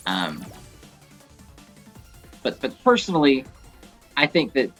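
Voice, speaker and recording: A man's voice: medium pitch, wildly swooping pitch, slow, a bit bright. A man giving casual, rambling game commentary as a video creator, talking like an enthusiastic fan with some mild swearing. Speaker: gaming video creator and host. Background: video game music.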